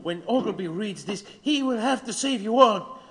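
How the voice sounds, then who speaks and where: A man playing an eager, hopeful newsboy bringing news to prisoners in an audio drama, urgent and excitable. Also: high voice, moderate pace. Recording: long reverb.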